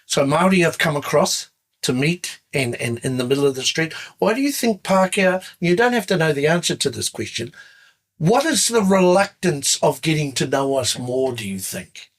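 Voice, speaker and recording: An older man interviewing young guests, casual and teasing with a playful, expressive manner. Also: fairly high pitch, normal speed. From New Zealand.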